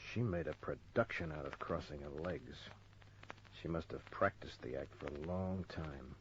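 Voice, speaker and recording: A man's voice: very deep voice, moderate pace. An actor playing a sardonic, wisecracking private detective who narrates his case in old-time radio drama style. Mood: leering, amused. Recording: dull, noisy old radio recording.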